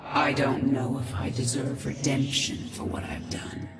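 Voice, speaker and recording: Godlike alien heroine, female. Grave, very slow delivery, otherworldly echo, game cinematic. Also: very deep voice, level tone. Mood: solemn resolve. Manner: measured, grave, portentous. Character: ascended godlike alien woman, solemn and resolute. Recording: echoing, distant, loud music behind.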